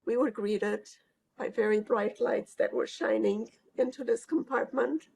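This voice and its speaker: An elderly woman recalls her past in an interview. She speaks very slowly, with a gravelly, creaky edge and frequent thoughtful pauses. She sounds hesitant and reflective. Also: fairly high pitch, fairly even intonation, darkish tone, low-quality recording. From Hungary. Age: older. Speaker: Holocaust survivor giving testimony. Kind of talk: recorded oral history interview.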